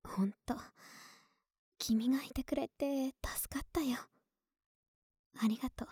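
A woman playing an affectionate, slightly clumsy young neighbor with a big-sister air in an ASMR voice drama, speaking softly and intimately, often in a whisper. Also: sits high, fairly even intonation, a little slow, dry recording, clean studio sound, full character voice. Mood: tender, confiding. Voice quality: breathy. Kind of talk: Japanese ASMR situation voice drama.

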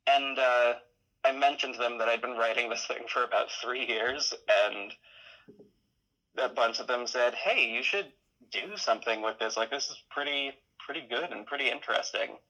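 A man chatting casually as an interview guest, halting often as he thinks aloud. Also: mid-range voice, bright, forceful tone, low-quality recording. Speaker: interview guest, audio drama creator.

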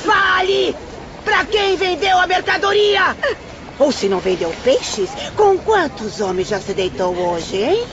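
Shrill, cruel fishwife mother. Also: sing-song, slow pace, heavily acted. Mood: furious, accusing. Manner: shrill scolding, shouted insults and commands. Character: cruel, greedy, abusive fishwife mother berating her daughter. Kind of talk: melodramatic radio drama acting. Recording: dull radio drama with crowd and noise.

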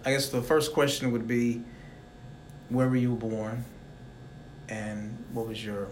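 A man's voice: medium pitch, fairly even intonation, takes it slowly, slight background noise. A young man hosting a casual interview, talking in a rambling, reflective way. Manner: rambling, reflective, soft-spoken.

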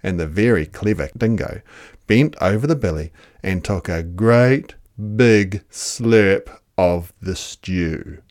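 A storyteller giving an animated read-aloud of a children's picture book. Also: low-pitched, slow.

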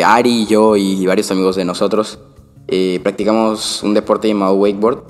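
Young venezuelan man doing podcast chat, very echoey with long reverb, music behind him. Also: on the low side. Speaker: podcast co-host. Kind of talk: conversational self-help podcast talk.

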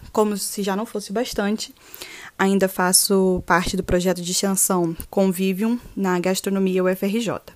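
A woman's voice: medium pitch, darkish tone. A woman in her twenties from Rio de Janeiro with a Carioca accent, a podcast guest who talks in a friendly, chatty way. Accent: Rio de Janeiro Portuguese. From Rio de Janeiro, Brazil. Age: 20s. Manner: friendly, chatty self-introduction. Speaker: guest, social sciences student. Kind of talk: casual podcast interview.

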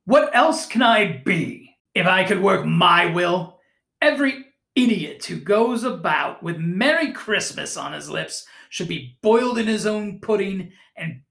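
Reader doing a grumpy stingy old man, slow and gravelly, echoey room. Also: medium pitch, animated, fairly bright tone, heavily acted. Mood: scornful. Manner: curt, scornful. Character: miserly bitter old man.

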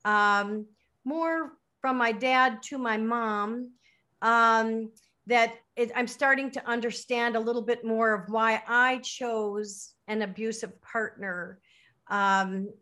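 Bright-voiced woman in her fifties. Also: on the high side, steady tone, slow pace. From United States. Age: 50s. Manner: hesitant, many ums. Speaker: guest. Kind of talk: casual interview talk.

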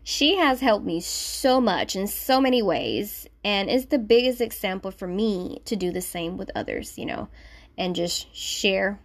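A woman's voice: medium pitch, animated, measured pace. A woman from Puerto Rico, a native Spanish speaker with a slight Puerto Rican accent, chatting casually and self-deprecatingly to listeners as a solo podcast host.

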